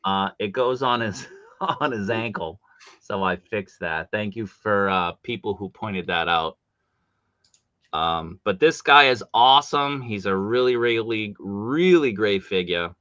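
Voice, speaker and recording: A man hosting a live stream from home, chatty and animated, with a big voice and coming down with a cold. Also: on the low side, unhurried, no room sound, dull audio. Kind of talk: casual live stream chat.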